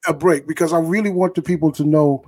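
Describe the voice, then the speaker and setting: A man's voice: a little high, steady tone, fast. A man hosting a casual interview, easygoing, chiming in with short replies and leading into questions. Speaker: host.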